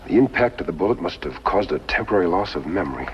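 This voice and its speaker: An actor playing an upright masked lawman hero in a 1950s television western, speaking in a measured, deliberate way with a firm voice. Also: deep voice, animated. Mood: grave. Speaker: lead actor in a western series. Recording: muffled, noisy 1950s film soundtrack.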